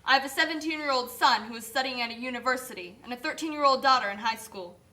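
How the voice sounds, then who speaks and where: Woman playing a bright, high-pitched puppet factory worker. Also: fast. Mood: indignant, weary. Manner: earnest, pleading, complaining. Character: weary, indignant female factory worker and mother, puppet. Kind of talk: amateur puppet show acting.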